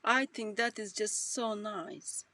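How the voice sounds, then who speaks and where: Bright, dry ironic woman. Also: medium pitch, fairly even intonation, distant mic. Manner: dry, ironic and slow-paced. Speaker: co-host of an online show. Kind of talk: sarcastic online discussion.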